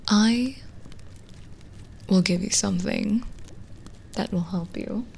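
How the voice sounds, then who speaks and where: A woman playing a lonely, gentle witch in an ASMR roleplay, speaking softly and intimately, often in a whisper, with halting pauses. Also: medium pitch, a little hiss or hum. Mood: soft, instructive. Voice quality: soft, breathy, often whispered. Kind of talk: ASMR roleplay, slow soothing scripted acting.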